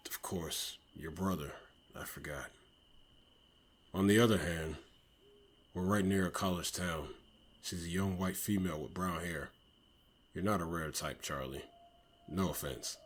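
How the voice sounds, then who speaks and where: A low, husky lawman in a comic dub, played by a man. He is a businesslike small-town investigator who speaks bluntly and states things matter-of-factly. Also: a little slow, pauses often.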